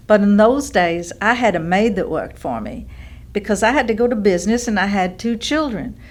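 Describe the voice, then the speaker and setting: Actress as female storekeeper, fond recollections, staged oral history reading, mid-pitched voice. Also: a little mellow, close to an everyday voice. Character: woman storekeeper reminiscing fondly about old small-town days.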